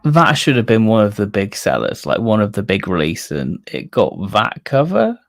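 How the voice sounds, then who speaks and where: A man's voice: on the low side, huge pitch swings, moderate pace, vocal fry. A man co-hosting a casual fan stream, giving his opinions in an informal way. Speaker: co-host of a fan discussion stream.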